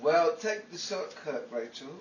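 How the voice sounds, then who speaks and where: An actor playing a vain, cheap, scheming husband in a 1940s-style radio comedy, with an excitable, whiny, blustering manner. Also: male voice, fairly high pitch, unhurried, lo-fi sound. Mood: blustering, cajoling. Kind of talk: 1940s old-time radio comedy acting. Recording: echoey, distant, noisy with crowd ambience.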